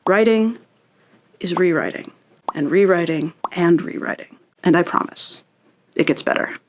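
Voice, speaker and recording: A woman's voice: on the low side, measured pace, a little hiss or hum. A woman delivering an instructional lesson as a writing instructor, in an encouraging and conversational manner.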